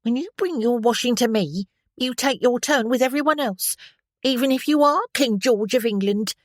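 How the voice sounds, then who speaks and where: A narrator voicing a bold young army washerwoman who scolds an officer, high and loud, with a timbre unlike the narration. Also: moderate pace, no echo, clean studio sound, natural voice. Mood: scolding. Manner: bossy, scolding.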